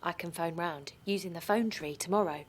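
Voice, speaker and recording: A woman's voice: lowish voice, moderate pace, slightly rough. A woman playing an earnest small-town mum turned protester in an audio drama, chatty and unpolished. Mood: chatty, willing. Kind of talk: naturalistic audio drama, dialogue and narration.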